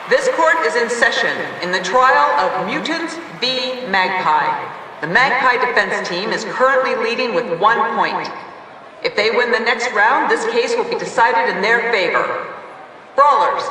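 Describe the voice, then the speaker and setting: Ceremonious cartoon referee, officious, sharp bright tone, formal proclamations, distant, long hall reverb. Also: female voice, fairly high pitch, lively intonation, a little slow. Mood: pompous, official. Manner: pompous, legalistic. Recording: roomy echoing hall, distant, faint noise.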